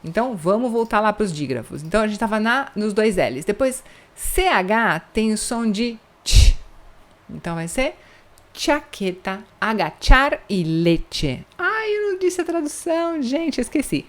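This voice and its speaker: A young woman from Brazil teaching a casual online lesson, often checking in with listeners and slowing down to spell out example words. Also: fairly high pitch, big pitch swings. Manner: chatty, checks in with "tá bom?" after points. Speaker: Spanish teacher.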